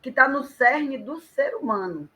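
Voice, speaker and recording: Woman guest on a live, really bright voice, lively and emphatic, kinda high. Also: unhurried. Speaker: guest storyteller and author. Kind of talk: casual live chat.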